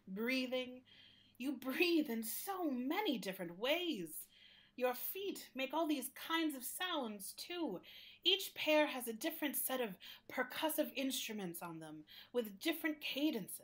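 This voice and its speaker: A woman playing a talking library building in a radio play, gentle but fussy, with a confiding, exasperated manner. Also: high-pitched, sing-song, takes it slowly, heavily acted. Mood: wistful, fussy. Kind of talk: radio play acting in interview form.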